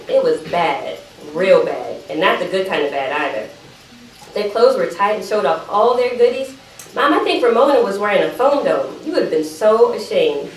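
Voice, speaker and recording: A woman's voice: medium pitch, close to an everyday voice. A woman performing a dramatic monologue as an evasive teenage sister, chatty and apologetic as she pleads her case to her mother. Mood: chatty, gossipy. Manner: defensive, apologetic, chatty digressions. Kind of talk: dramatic monologue read aloud at a live event. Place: live event room, recorded from a distance. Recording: roomy, distant microphone, faint background noise.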